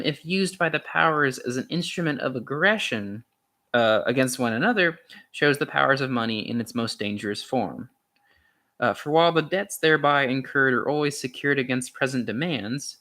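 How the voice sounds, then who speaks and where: Man reading aloud, halting delivery. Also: mid-range voice, pushed and bright. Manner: halting, stumbles and corrects himself. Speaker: amateur reader of a philosophy essay. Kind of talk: reading aloud from an essay, with casual asides.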